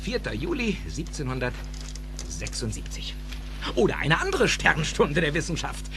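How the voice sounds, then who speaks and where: An actor playing an excitable, eccentric older scientist in a German audio drama, theatrical and emphatic, with precise technical phrasing. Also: male voice, fairly high pitch, unhurried, background noise. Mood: instructive, reminiscing.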